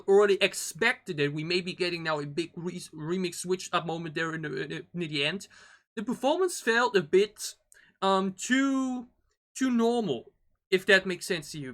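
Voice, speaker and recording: A man giving casual reaction commentary as a video host, excitable and rambling, often repeating his phrases. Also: high voice. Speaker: host of a K-pop reaction channel. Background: pop music from the video being watched.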